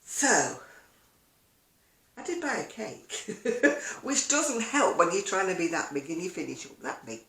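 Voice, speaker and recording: A woman's voice: on the low side, sing-song, a little slow, fairly bright tone. An older woman chatting casually to camera as a video blogger, rambling along with self-deprecating asides in an echoey room. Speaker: video blogger and craft seller.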